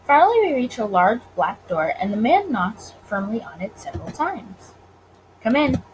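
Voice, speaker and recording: A woman's voice: medium pitch, very animated, normal speed, poor audio. A woman gaming creator chatting casually and reading visual novel narration aloud, recorded with faint noise behind her. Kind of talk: casual let's play with read-aloud narration.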